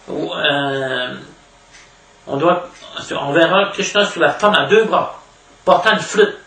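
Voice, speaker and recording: Gravelly Québécois man preaching, echoey room. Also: a little high, pushed and bright. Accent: Québécois French. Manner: halting, repeats words, frequent "hein" tags. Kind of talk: informal extemporaneous spiritual lecture. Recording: echoey room, distant mic, faint noise.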